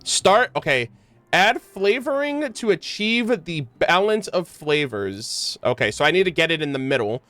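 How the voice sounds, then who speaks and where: Animated, bright-voiced man streaming a game. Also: on the high side, a little slow, dry recording. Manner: slangy, swears freely, excitable. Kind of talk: casual live gameplay commentary, reading game text aloud.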